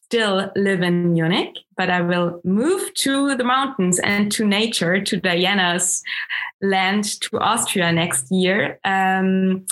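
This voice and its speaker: A woman with a German accent, whose first language is German, speaking as an astrologer guest in an interview, pausing often and restarting her sentences. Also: mid-range voice, fairly bright tone. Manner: hesitant, many ums and restarts. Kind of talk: interview conversation.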